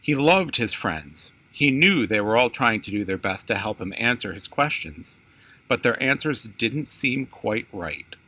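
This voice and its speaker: A man's voice: medium pitch, expressive, measured pace, sharp tone. A man reads a children's story aloud as a storyteller, in narrated prose.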